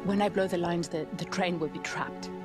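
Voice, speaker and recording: A woman's voice: on the low side, steady tone, moderate pace, natural voice. Woman as a determined rebel fighter over music. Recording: loud music under the voice. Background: loud music.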